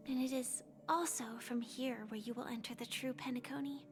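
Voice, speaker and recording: A high-voiced, earnest young woman. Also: fairly even intonation, fairly quick, slightly rough, soft background music. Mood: solemn. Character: gentle, earnest young woman, secretly an armored hunter. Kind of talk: scripted video game dialogue.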